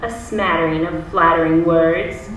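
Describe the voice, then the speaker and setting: An actress in a live stage play playing a wistful, lovelorn middle-aged woman with a wry, measured delivery. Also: on the low side, fairly bright tone. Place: theatre stage, distant microphone. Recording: very echoey distant theatre, clear noise.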